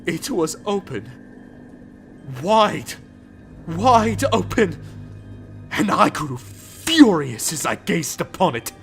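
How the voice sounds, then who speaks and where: Male dramatic reader, high and animated, gothic storytelling with music under it. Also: slow, long pauses. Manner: theatrical, swinging between hushed whispers and frenzied shouts. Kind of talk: dramatic reading of a gothic tale. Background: clear music.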